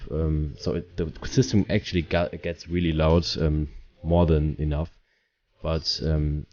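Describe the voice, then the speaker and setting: A man giving a casual product review, explaining things in simple, halting phrases. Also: very deep voice, warm tone.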